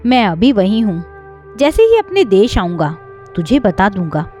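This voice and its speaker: A woman's voice: medium pitch, big pitch swings, a little slow, warm tone, soft background music. A woman voicing an earnest, devoted adult son, a doctor, in a plain-spoken storybook telling. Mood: reassuring.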